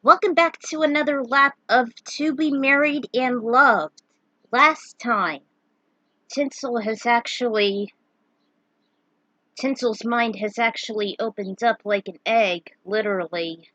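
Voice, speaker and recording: A woman's voice: on the high side, slow, sharp tone, no room sound, muffled recording. Woman narrating her fan fiction, dry sound. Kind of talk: dramatic recap and reading of own fan fiction.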